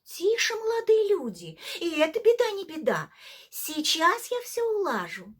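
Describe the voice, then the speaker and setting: A woman voicing a sly female fox in a folk tale, speaking with coaxing, drawn-out, falsely polite reassurance. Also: very high voice, huge pitch swings, a bit bright, pitched above the performer's own voice, exaggerated voice. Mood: soothing. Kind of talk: theatrical bedtime folk-tale reading.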